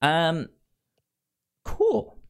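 A man's voice: fairly high pitch, wildly swooping pitch, drawn-out words, creaky, smooth. A man hosting a podcast in casual, informal chat, repeating words for emphasis. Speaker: podcast host.

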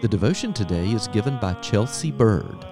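A man hosting a devotional podcast, giving a gentle, formal scripted introduction. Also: on the low side, lively intonation, moderate pace. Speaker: podcast host. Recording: guitar music behind voice.

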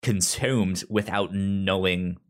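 A man's voice: low-pitched, steady tone, takes it slowly. A young man co-hosting a podcast in casual review chat, stuttering and restarting words often. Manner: heavy stutter with many repeated word starts. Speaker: podcast co-host.

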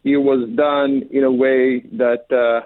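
Slow, halting man on a tinny phone line. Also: medium pitch, little pitch movement, no echo. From Africa. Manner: halting, many fillers, slow and careful. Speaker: singer and producer guest. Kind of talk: radio phone interview.

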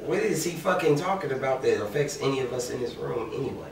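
A man's voice: medium pitch, animated, quick pace. Blunt, profane man in an echoey room. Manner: blunt, profane, loud outbursts. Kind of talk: casual heated chat. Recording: roomy room from a distance, clear hiss.

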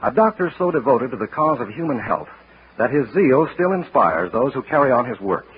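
A man giving formal, measured 1940s radio announcements and sponsor copy. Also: medium pitch, very animated, a little hiss or hum. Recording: tinny vintage radio broadcast.

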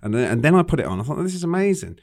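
A man's voice: on the high side, big pitch swings, racing, a little mellow. A man, a guest on a radio show, speaking earnestly and hesitantly, with frequent restarts. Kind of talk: casual radio chat.

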